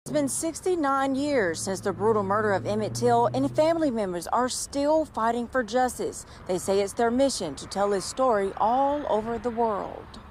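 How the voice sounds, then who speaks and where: A woman's voice: a little high, animated, moderate pace, few pauses, a little mellow. Woman news reporter over background noise. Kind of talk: scripted news narration.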